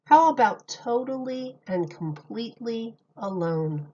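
A woman reads a children's picture book at an unhurried, slow pace. She speaks like a teacher, in simple phrases with questions to little listeners. The recording is slightly dull and lo-fi. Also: on the high side, expressive, mellow, slight background noise. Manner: simple, deliberate phrasing addressed to small children. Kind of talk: children's read-aloud with questions to young listeners.

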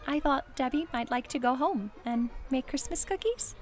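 Woman playing servant, high voice, eager, deferential, fluttery, dramatic acting, music behind. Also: expressive, normal speed. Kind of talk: radio-play style dramatic acting.

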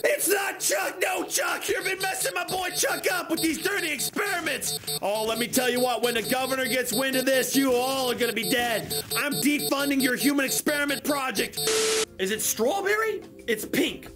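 A young man giving loud, crude comedy commentary over video games, yelling and joking at the screen, recorded on a headset in a bedroom setup with game music behind him. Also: very high voice, normal speed. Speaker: gaming YouTuber.

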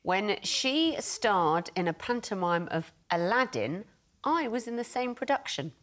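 A middle-aged woman tells a comic story on a panel show. Her pitch is mid-range and moves around in a lively, animated way. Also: a little slow. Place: television studio.

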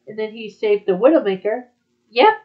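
A woman's voice: medium pitch, lively intonation, moderate pace. A woman talking in a casual back-and-forth conversation. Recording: dull, muffled lo-fi audio.

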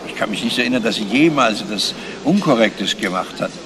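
Actor as older retired schoolteacher, noisy background. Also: male voice, lowish voice, animated, a little fast, natural voice. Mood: loyal, matter-of-fact. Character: retired schoolteacher and chess player, decent, guarded older man. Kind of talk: German crime radio drama acting. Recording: noisy radio drama with background ambience.

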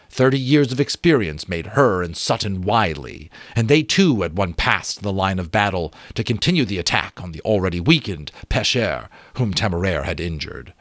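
A man's voice: deep voice, no room sound. A man in his thirties reading an adventure novel aloud expressively, with occasional small stumbles, into a close microphone. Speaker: audiobook reader. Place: small home room with bookshelves. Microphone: close desk microphone.

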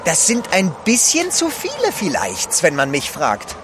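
An actor playing a fussy, loyal manservant in a German audio drama, excitable and quick to complain. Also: male voice, high-pitched, noisy background, full character voice. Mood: indignant.

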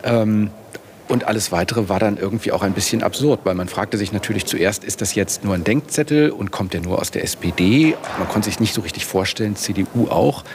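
A middle-aged male political reporter speaks in a low voice during a live interview. He is reflective and often repeats words as he searches for phrasing. The noise of a busy hall sits behind him. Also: a little fast. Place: large indoor parliament foyer. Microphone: handheld broadcast microphone.

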